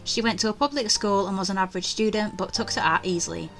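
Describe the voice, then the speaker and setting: A young woman with a bright, crisp-edged voice hosts a casual let's play. She moves between offhand commentary and reading game text aloud, with faint music underneath. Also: medium pitch, fast talker.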